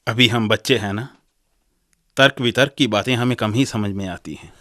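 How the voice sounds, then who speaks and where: Man playing a sharp-voiced, defiant young student leader. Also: lowish voice, normal speed, no room sound, studio quality, natural voice. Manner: sarcastic, combative. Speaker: actor. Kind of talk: radio drama acting.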